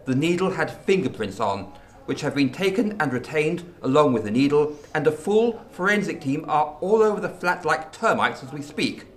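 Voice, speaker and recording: A male actor plays a senior government man in a radio drama. His voice sits fairly high and moves widely in pitch, while his delivery stays formal, grave and precise. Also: expressive, natural voice. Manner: formal, measured, articulate briefing.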